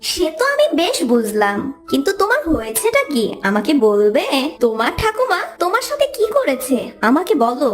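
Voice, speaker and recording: A woman voicing a gentle, moralizing young green mango in a children's cartoon, earnest and admonishing. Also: sits high, wildly swooping pitch, normal speed, faint music behind, voice pushed down. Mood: concerned.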